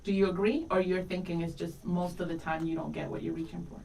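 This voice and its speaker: A woman's voice: fairly low pitch, level tone, fast talker, vocal fry, darkish tone, distant mic, poor audio. A middle-aged woman talks candidly and reflectively as a radio co-host, in an easy conversational style. She sounds set well back from the microphone, with a faint bed of noise around her. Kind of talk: casual conversational radio talk.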